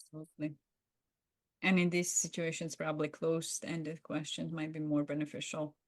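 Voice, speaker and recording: A woman's voice: sits low, flat delivery, normal speed, no room sound. A woman co-hosting a podcast in unscripted reflective discussion, agreeable and affirming as she adds her thoughts. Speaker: podcast co-host.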